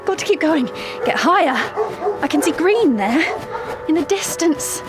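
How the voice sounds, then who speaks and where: A nervous determined parrot, high voice, slow broken phrases, music behind, audio drama. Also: female voice, lively intonation. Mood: anxious, determined. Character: anxious, determined young parrot escaping captivity.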